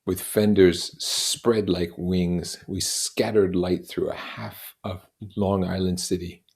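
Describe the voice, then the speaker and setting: Low-voiced man reading a novel aloud. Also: level tone, slow pace, gravelly, darkish tone. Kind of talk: expressive literary narration read aloud.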